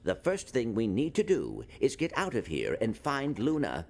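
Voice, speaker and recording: Polite little robot with swooping intonation. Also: male voice, mid-range voice, wildly swooping pitch, normal speed, very noisy. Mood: earnest. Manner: formal, careful wording without contractions. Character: small polite robot sidekick, earnest and precise. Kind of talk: video game cutscene acting. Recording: music behind the voice.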